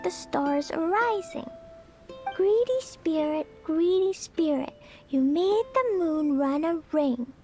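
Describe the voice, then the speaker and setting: Very high, excitable little girl. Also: full character voice. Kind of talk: video game dialogue. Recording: music behind the voice.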